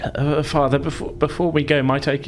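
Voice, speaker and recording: A man's voice: fairly high pitch, level tone, fairly quick, pitched above the performer's own voice, lightly acted. A man voicing a timid, nervous young son who stammers and hesitates, in a tabletop game. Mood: timid, deferential.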